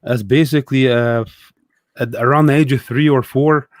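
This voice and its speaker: A thoughtful young man from Egypt tells his story as a guest in a relaxed talk. He pauses often and fills the gaps with ums. His voice sits at a middle pitch and sounds dry and close. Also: no room sound. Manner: thoughtful, hesitant, many fillers. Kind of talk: casual conversation, storytelling.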